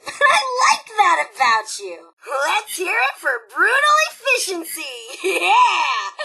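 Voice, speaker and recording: A woman voicing a cheerful, bloodthirsty female raider robot in a video game, brash, sarcastic and excitable, with a sharp, forceful delivery. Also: high voice, exaggerated voice, rough recording. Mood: teasing, approving. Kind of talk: animated video game character acting.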